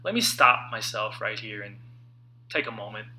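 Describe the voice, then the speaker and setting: A man in his late thirties reading his own motivational book aloud in an earnest, conversational way with dramatic pauses. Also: lowish voice, expressive, pushed and bright, slight background noise. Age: late 30s. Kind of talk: personal audiobook narration, motivational.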